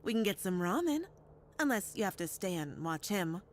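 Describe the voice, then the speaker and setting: A woman acting a breezy, teasing, loyal fighter in a sci-fi audio drama, speaking in a casual, slangy, expressive way. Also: medium pitch, normal speed, slight background noise, lightly acted. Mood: friendly. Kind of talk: naturalistic audio drama dialogue.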